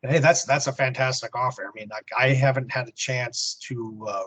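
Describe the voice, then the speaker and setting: Man co-hosting a podcast, slightly rough voice. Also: medium pitch, steady tone, fairly quick, fairly bright tone. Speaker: podcast co-host. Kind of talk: casual chat and reading listener email aloud.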